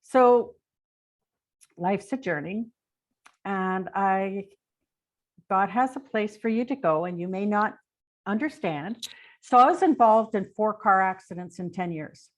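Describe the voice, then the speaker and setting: Older Canadian female interview guest, middle-pitched voice, chatty and anecdotal storyteller. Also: lively intonation, unhurried, long pauses. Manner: informal, rambling, anecdotal. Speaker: interview guest, retired police dispatcher. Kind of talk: conversational personal storytelling.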